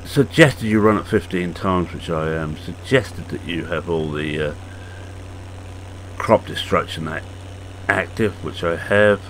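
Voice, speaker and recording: Croaky low-voiced older man. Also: a little hiss or hum, poor audio. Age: 60s. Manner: unhurried, rambling, halting with fillers. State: hoarse, croaky voice. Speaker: farming game video maker. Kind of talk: casual gameplay commentary.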